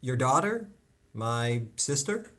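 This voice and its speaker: Casting: man as concerned adult son, earnest and coaxing yet exasperated, with swooping, lively intonation. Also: mid-range voice, slow. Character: concerned, exasperated grown son confronting his mother. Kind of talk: live play reading over video call. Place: indoors, on a video call.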